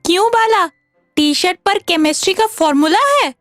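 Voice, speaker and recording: A woman voicing a stern, sharp female school teacher who questions and scolds, in an animated story. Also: very high-pitched, moderate pace, no echo, soft background music. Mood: suspicious, scolding.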